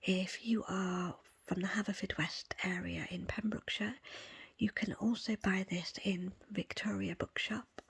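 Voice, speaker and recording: Breathy whispering woman reading aloud. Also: medium pitch, steady tone, measured pace. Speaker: book reader presenting an audiobook extract. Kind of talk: ASMR whispered book reading.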